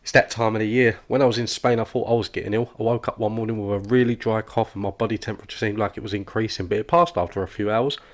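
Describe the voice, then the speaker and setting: A man plays a cartoon office chatterbox. His voice sits fairly low and races along, cheerfully oblivious as he rambles and overshares with whoever is near. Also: steady tone, fast talker, hardly any pauses, lightly acted. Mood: nosy, oversharing. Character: oblivious, relentlessly chatty male office worker. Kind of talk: comic animated dialogue.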